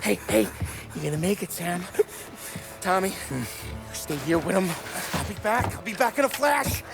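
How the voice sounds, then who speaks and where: Brash, slangy American gangster over loud music. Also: male voice, high-pitched, sing-song, normal speed, gravelly, exaggerated voice. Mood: shaken, urgent. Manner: coarse, slangy wiseguy talk. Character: brash 1930s male American gangster, hot-tempered, loyal. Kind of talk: dramatic video game acting.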